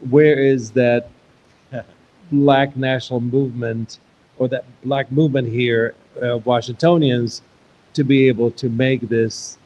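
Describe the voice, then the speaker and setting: A man's voice: mid-range voice, darkish tone, poor audio. Man from Iraq hosting a stage talk. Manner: conversational, thinks aloud with restarts. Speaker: host and interviewer. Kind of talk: live onstage conversation.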